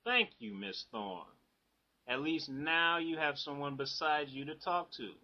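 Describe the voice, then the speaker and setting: A man's voice: fairly high pitch, animated, unhurried. Man playing a stern teacher, dull lo-fi sound. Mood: dry stern. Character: stern, sarcastic male high school teacher. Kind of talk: amateur radio drama acting.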